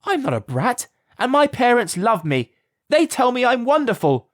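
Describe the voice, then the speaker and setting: A man's voice: huge pitch swings, moderate pace, no room sound, clean studio sound. A man voicing a young girl who talks back, upset and defiant. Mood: indignant. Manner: shrill, indignant.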